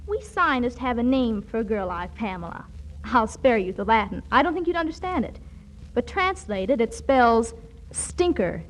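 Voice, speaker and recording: High-voiced, know-it-all young girl. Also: expressive, normal speed, poor audio. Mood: dry, superior. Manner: bookish big words, dry wisecracks. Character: precocious, blunt young girl scientist, worldly and sardonic. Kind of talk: 1940s radio comedy acting.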